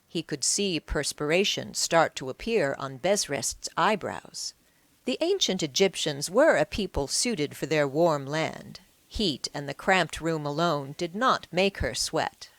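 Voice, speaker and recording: Lowish, expressive woman narrating an audiobook. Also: measured pace, no room sound. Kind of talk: scripted story narration.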